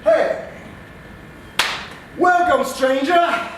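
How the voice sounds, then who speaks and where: Man doing a boastful Wild West stranger, French accent, loud and brash, distant mic, big echo. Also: very high voice, very slow, a little hiss or hum, pitched above the performer's own voice, full character voice. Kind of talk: live improv scene. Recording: distant, very echoey live venue.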